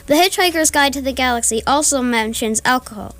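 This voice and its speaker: A matter-of-fact guidebook narrator performed by a woman. Her voice is on the high side, and she delivers scripted radio-play narration in a measured, explanatory way. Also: a bit bright.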